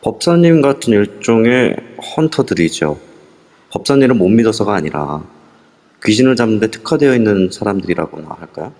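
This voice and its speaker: A man's voice: on the low side, expressive, a little fast, darkish tone. A man playing a friendly young man who talks casually in an amateur audio drama. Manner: casual and chatty. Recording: reverb-heavy online audio.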